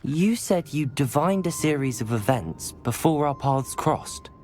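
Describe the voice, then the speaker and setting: A man voicing a calm, inquisitive teenage student in a fantasy video game, measured and polite. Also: fairly high pitch, soft background music. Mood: curious, determined. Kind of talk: naturalistic video game cutscene acting.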